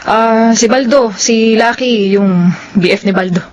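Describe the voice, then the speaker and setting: A woman's voice: fairly high pitch, unhurried, dull audio, close to an everyday voice. A woman with a Filipino accent playing a friendly, assertive working girlfriend in a radio drama. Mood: breezy banter. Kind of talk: Tagalog radio drama acting.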